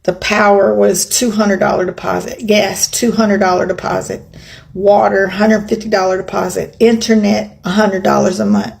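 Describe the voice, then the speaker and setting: A woman's voice: mid-range voice, little pitch movement, normal speed, creaky, darkish tone. An American woman vlogger talking casually about her own life in a chatty, emphatic, opinionated way. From United States. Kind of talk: casual personal vlog talk.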